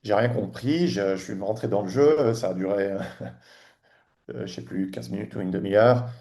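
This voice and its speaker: A man in his forties from Tunisia, a guest on a podcast, talking casually in a halting way with many restarts and fillers. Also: fairly low pitch, vocal fry, warm tone. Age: 40s. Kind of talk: casual interview chat.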